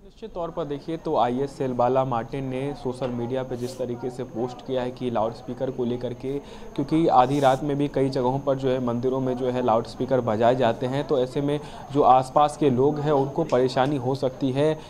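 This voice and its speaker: A man's voice: mid-range voice, little pitch movement, moderate pace, mellow. A young man giving a live news report, leaning on a repeated filler phrase. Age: young adult. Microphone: handheld microphone.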